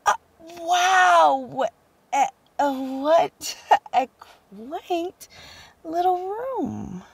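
A woman playing a teasing, good-natured newlywed bride in a radio drama, with a husky, creaky voice. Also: very high voice, expressive, extremely slow, full character voice. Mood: underwhelmed.

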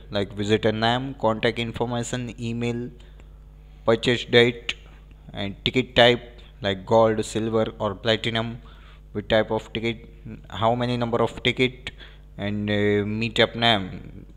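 A man with an Indian English accent giving a screen-recorded software walkthrough, pausing often with fillers. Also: fairly low pitch. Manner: hesitant, frequent filler pauses. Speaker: software demo presenter.

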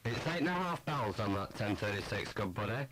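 A man's voice: on the low side, animated, fairly quick, fairly bright tone, a little hiss or hum, rough recording. A man playing a chummy CB radio caller in a scripted demonstration on an instructional tape.

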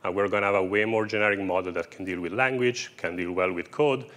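Low-voiced man giving a technical presentation. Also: steady tone, fast, a bit bright. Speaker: AI researcher co-presenting. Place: indoor stage in a brick-walled event room before an audience. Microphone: lapel mic.

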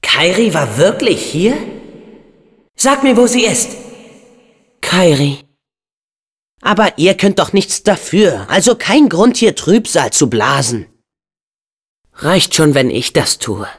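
Casting: brave teenage boy game hero, earnest and upbeat, very animated pitch, unhurried pacing. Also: breathy edge, heavily acted. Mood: earnest, troubled. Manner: earnest, expressive, youthful. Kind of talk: video game voice acting.

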